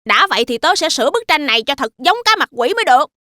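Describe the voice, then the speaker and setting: A skeptical little boy in a kids' cartoon. The voice is squeaky high and bright, and the delivery is rapid, chatty and childlike. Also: very animated, a little hiss or hum, exaggerated voice. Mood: curious, impressed. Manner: childlike, quick, doubting.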